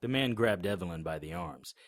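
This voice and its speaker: Deep-voiced male drama narrator. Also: expressive, a little fast, no echo, shouting, clean studio sound. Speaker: narrator and lead actor. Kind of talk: first-person audio drama narration.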